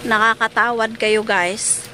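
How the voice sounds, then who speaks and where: A Filipino woman in her thirties talks slowly and chattily to her viewers, calling them "guys" as she shops. Her voice sits a little high. A phone catches it over the clear bustle of a street market. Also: steady tone. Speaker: family vlogger. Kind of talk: casual walking vlog. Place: busy outdoor market and street. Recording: noisy street market recording.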